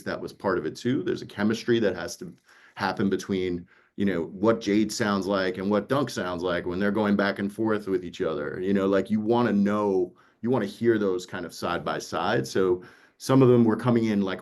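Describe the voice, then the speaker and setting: A man's voice: sits low, darkish tone. A man talking casually and earnestly as an audiobook producer in a panel conversation, explaining things with plenty of "you know" and "like".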